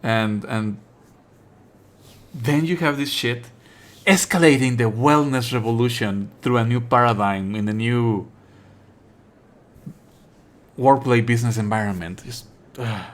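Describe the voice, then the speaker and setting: Male game streamer, mid-pitched voice, sardonic and exasperated, swears freely, switches between reading on-screen text and ranting. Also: a little slow, pauses often. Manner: exasperated, sarcastic, animated outbursts; frequent swearing. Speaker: streamer playing and commenting on a visual novel. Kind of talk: live let's play commentary, reading game text aloud.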